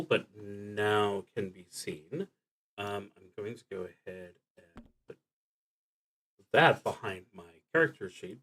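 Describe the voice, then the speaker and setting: Halting man with a bright, forceful voice. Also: medium pitch, low-quality recording. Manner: halting, hesitant delivery with long pauses. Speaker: solo tabletop roleplaying streamer. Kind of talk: casual livestream talk, explaining a game.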